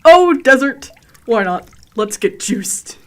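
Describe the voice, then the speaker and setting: A woman's voice: on the high side, big pitch swings, slow pace. A woman playing an earnest, welcoming alien guide who explains her people's ways in a comedic radio drama. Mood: eager. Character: welcoming, easily tempted female alien guide of a water-cult people.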